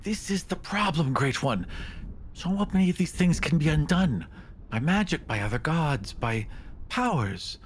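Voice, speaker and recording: A man playing a proud, scheming mortal petitioner in a tabletop fantasy game, with a theatrical, halting delivery. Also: fairly high pitch, lively intonation, a little hiss or hum. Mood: earnest persuading. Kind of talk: tabletop roleplay acting.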